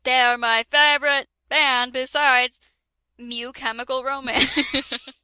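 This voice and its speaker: A woman reading a parody fan story aloud, playful and quick to laugh and correct her co-reader. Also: sits high, level tone, sharp tone, clear, resonant tone, no echo.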